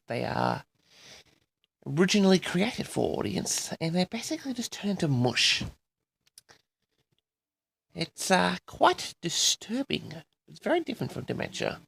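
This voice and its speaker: A man hosting an audio log, rambling in a halting solo monologue with frequent pauses, addressing his audience directly. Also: a little high, vocal fry, fairly bright tone, breathy edge. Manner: halting, pausing often, repeatedly addresses the audience.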